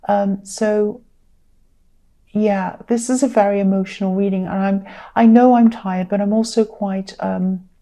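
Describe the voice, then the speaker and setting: Croaky, tired woman reading tarot. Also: mid-range voice, mellow. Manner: earnest, repeats phrases for emphasis. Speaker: tarot reader. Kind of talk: casual tarot reading to camera.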